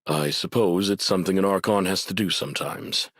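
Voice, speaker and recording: Deep-voiced, courteous academic official. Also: male voice, a bit bright, husky, no room sound, clean studio sound, exaggerated voice. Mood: calm, sincere. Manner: formal, measured, polite. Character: courteous, earnest male academic district administrator. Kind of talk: scripted anime game dialogue.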